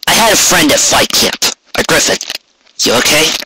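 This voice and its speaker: Man putting on a high-pitched brash young mare. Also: moderate pace, background noise, lightly acted. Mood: casual, brash. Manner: insistent, tomboyish, casual slang. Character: brash young female pegasus pony, eager and stubborn.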